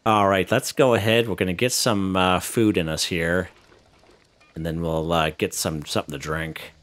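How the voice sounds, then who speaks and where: Deep-voiced man guiding a game tutorial. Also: normal speed. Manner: relaxed, walks viewers through step by step. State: losing his voice. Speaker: gaming channel host. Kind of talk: casual gameplay tutorial commentary.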